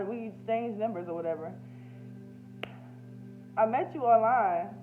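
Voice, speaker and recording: American woman vlogging, thin phone-like sound. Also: mid-range voice. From United States. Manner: candid, emotional, profane, slangy. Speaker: YouTube spiritual healer and host. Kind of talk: casual, unscripted personal vlog.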